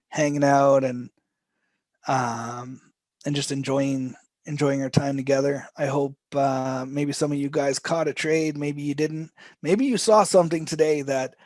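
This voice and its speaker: A man teaching a live trading session, his voice going hoarse as he talks, with loose, rambling phrasing, restarts and frequent throat clearing. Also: medium pitch, a little mellow, dry recording. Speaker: trading instructor.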